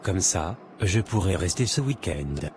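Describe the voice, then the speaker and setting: A man's voice: low-pitched, animated, measured pace, background noise. A man voicing a placating married man soothing his mistress in a cartoon.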